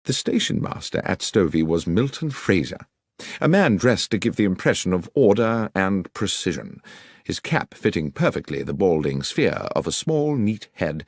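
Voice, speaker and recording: Deep, expressive male audiobook narrator. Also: moderate pace, dry recording, studio quality. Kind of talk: dramatic scripted first-person story narration.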